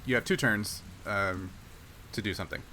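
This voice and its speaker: A man narrating a tabletop game as game master, in a halting, hesitant manner. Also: on the low side, sharp tone, slight background noise, rough recording.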